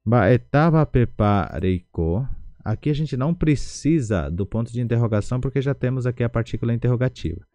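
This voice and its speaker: A man with a Brazilian Portuguese accent teaching an online language lesson, slowly repeating each word syllable by syllable. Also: mid-range voice, animated, dark tone, no echo.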